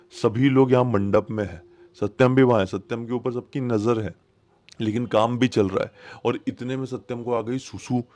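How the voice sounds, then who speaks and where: A man's voice: lowish voice, no room sound. A man telling a horror story informally, in a conversational and dramatic retelling that speaks straight to the listener. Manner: animated retelling, addresses listeners directly, repeats phrases for suspense.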